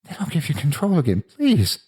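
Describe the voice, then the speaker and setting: A man's voice: mid-range voice, very animated, brisk, a little mellow, breathy edge, pitched above the performer's own voice. A man voicing a terrified boy who desperately pleads to be let go in a dramatic story. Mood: desperate bargaining. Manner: desperate, begging.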